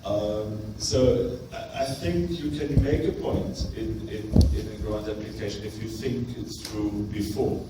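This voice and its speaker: A man's voice: on the low side, fairly even intonation, unhurried, hardly any pauses, heavy background noise. A man in the audience making a hesitant comment at a conference. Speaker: audience member. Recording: very echoey room from far off.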